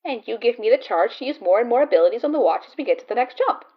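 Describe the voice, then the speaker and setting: A woman plays a magical guide instructing her partner in an audio drama. Her voice sits fairly high. The sound is muffled and narrow. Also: animated, racing. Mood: brisk, instructive. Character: partner guiding a time traveler with magic. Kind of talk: scripted audio drama dialogue.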